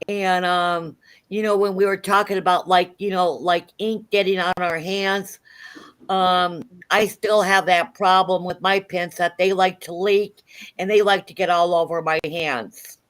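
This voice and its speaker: Woman in her sixties on a stroke panel, earnest and halting with ums. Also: lowish voice, steady tone, clean tone. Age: 60s. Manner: earnest, many ums and restarts. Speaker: peer wellness specialist on a stroke panel. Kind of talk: casual panel chat.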